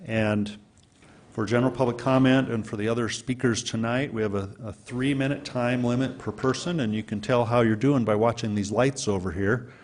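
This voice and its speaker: A man chairing a city council meeting, reading procedure and calling speakers in a relaxed way with fillers. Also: medium pitch, normal speed.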